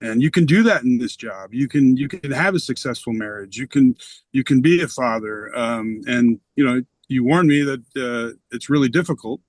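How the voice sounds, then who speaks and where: A middle-aged man, a retired umpire and pastor, talking softly and earnestly in a reflective conversation with many pauses and ums. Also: mid-range voice, dry recording. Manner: soft-spoken and earnest, with frequent ums.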